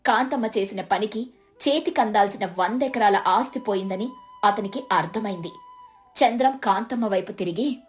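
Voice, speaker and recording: A woman's voice: medium pitch, moderate pace, soft background music. A woman narrating a scripted moral story as its storyteller.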